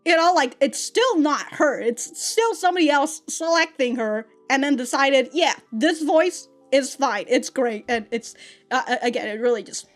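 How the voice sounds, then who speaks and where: A woman's voice: sits high, expressive, normal speed, faint music behind. A young woman reviewing an anime episode in casual talk, ranting and swearing, with many fillers and laughs, close to the mic indoors. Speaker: anime reaction YouTuber. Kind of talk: casual reaction commentary. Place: small room indoors with green-screen backdrop.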